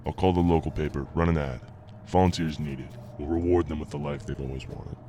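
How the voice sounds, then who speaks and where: An actor playing an obsessive visionary inventor and devoted husband in an audio drama, with a grave, measured delivery and a gravelly voice over a noisy recording. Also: male voice, extremely low pitch, fairly even intonation, mellow. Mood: fervent. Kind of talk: audio drama acting, partly recorded log narration. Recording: noisy amateur podcast recording.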